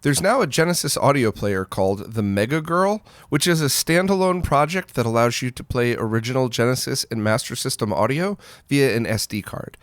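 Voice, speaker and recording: A man with a mid-pitched voice hosts a gaming news roundup. He talks casually and conversationally, offering his own opinions as he goes. The sound is dry, with very little room in it. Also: lively intonation, moderate pace, hardly any pauses, no room sound.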